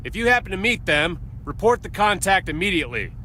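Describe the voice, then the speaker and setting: Sharp, blunt American military officer. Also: male voice, high-pitched, big pitch swings, fairly quick, full character voice. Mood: brisk, urgent. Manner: blunt, commanding. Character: brisk, businesslike US Marine officer briefing his men. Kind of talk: military slide briefing in a video game. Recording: clear background vehicle noise.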